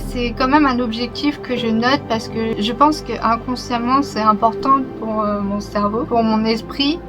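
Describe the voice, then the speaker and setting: A young woman talking to her channel in a casual, reflective way, open and a little hesitant, with soft laughs. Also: fairly high pitch. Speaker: YouTuber. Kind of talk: casual personal vlog talk. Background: music.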